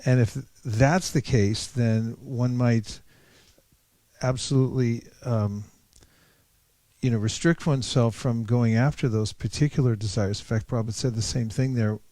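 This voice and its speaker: Mellow, close-sounding man leading a discussion. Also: medium pitch, steady tone, normal speed, no room sound. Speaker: discussion leader at a scripture class. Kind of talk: reflective group discussion talk.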